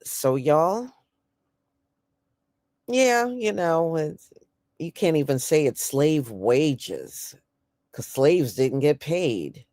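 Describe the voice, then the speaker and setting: A woman's voice: low-pitched, expressive, drawn-out words, pauses often, mellow, dry recording, clean studio sound. A woman with an American accent giving blunt, folksy, casual and opinionated commentary as a livestream host. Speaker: host of an online news commentary stream.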